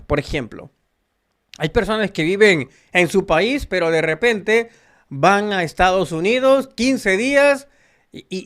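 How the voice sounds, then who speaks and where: A man from El Salvador with a Salvadoran Spanish accent, giving an earnest, preachy spiritual monologue straight to his viewers. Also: sits high. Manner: earnest, preachy, addresses listeners directly. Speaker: spiritual channel host.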